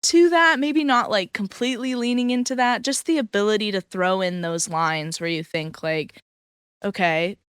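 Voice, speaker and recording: A woman's voice: medium pitch, moderate pace, fairly bright tone, clean tone, no echo, studio quality. A woman who sings in a band, chatting with enthusiasm and candor in a casual interview. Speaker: band singer.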